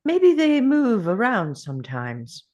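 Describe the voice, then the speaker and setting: A man playing a weary, grieving time traveller who muses slowly to himself in a script table read. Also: high voice, wildly swooping pitch, a little mellow, exaggerated voice. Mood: pondering.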